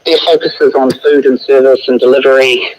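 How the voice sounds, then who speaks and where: A man's voice: medium pitch, level tone, a little fast. A man with a New Zealand accent gives a radio interview as a lecturer. His mid-range voice is explanatory and somewhat hesitant. It comes through a radio playback with faint noise. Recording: noisy shortwave radio playback.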